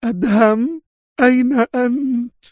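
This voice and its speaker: A man voicing a tough, sharp-tongued woman agent in an audiobook, speaking curtly and with mockery. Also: sits high, sing-song, normal speed, dry recording, clean studio sound, pitched above the performer's own voice. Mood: crying out. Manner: curt, sharp, mocking. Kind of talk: audiobook character voice.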